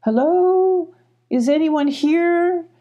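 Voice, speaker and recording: A woman voicing a gentle, tearful sloth in a children's story, with pleading, drawn-out calls. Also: very high-pitched, muffled recording, pitched above the performer's own voice, exaggerated voice. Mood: hopeful calling. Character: gentle, tearful sloth calling out hopefully for friends.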